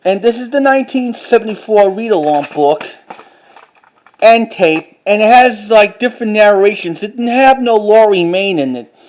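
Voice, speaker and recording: A man with a distinctly high-pitched voice presents collected items in a casual vlog style. His delivery is informal and halting, and he often repeats phrases as he moves through his show-and-tell. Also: expressive, darkish tone, low-quality recording. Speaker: collector showing items to camera.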